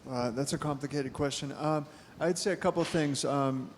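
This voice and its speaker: A man's voice: a little high, steady tone, normal speed. Hesitant middle-aged man in an echoing hall. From United States. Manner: hesitant, many fillers and restarts. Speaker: photojournalist speaker. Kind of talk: candid panel Q&A answers. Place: brewery event hall. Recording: long reverb in a brewery hall, faint room noise.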